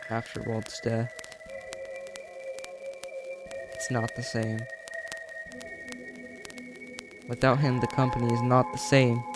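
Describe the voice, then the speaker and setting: A man's voice: mid-range voice, level tone, moderate pace, loud music behind the voice, natural voice. A man voicing a vengeful, obsessed man fused to a mascot suit, in short insistent threats from a horror tape. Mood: bitter, insistent. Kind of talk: horror tape monologue read aloud.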